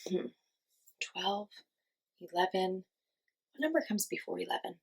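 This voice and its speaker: A woman teaching young children, giving simple encouraging instructions and asking questions, with pauses for answers. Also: mid-range voice, animated, gravelly, husky. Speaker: children's class teacher and host. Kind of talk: children's lesson with guided movement.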